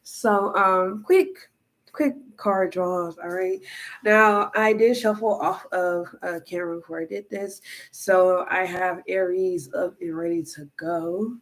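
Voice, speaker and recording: A woman's voice: on the low side, fairly even intonation, slow, poor audio. A woman reads tarot cards in a casual, chatty way, stuffed up from allergies, and keeps checking in with "all right?" and "okay?". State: congested. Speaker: tarot reader. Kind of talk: casual tarot reading to camera.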